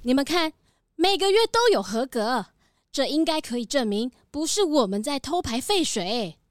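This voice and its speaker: A polite goat factory owner. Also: female voice, high-pitched, very animated, moderate pace, no echo, studio quality. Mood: helpful. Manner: helpful, bleating.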